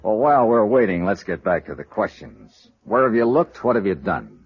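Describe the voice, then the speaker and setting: A man's voice: fairly low pitch, sing-song, normal speed, breathy edge, dry recording. An American man playing a wry, persistent investigator in a 1950s radio noir drama, with clipped, dry delivery. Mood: cool, needling. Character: wry, persistent male freelance insurance investigator narrating his case. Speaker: lead actor and narrator. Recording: muffled vintage radio recording.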